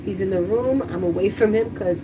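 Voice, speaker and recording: A woman's voice: medium pitch, brisk, far from the mic, background noise. A woman livestreaming casually from her kitchen, chatty and candid as she talks to viewers, with a stuffy, congested voice. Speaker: livestreaming YouTuber. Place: kitchen at home, household noise.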